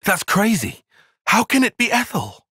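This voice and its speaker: Husky, earnest young British tactician. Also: male voice, high-pitched, wildly swooping pitch, a little slow, pushed and bright, no echo, studio quality, heavily acted. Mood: indignant, questioning. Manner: articulate, formal, analytical phrasing. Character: earnest, cerebral young male soldier and tactician. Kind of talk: scripted video game cutscene acting.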